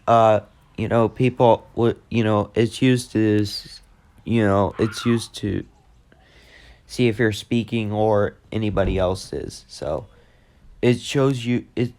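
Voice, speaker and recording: A man giving a casual, unscripted tech demo in a halting, repetitive way that tends to trail off. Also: lowish voice, a little mellow. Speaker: video host demonstrating a walkie-talkie app.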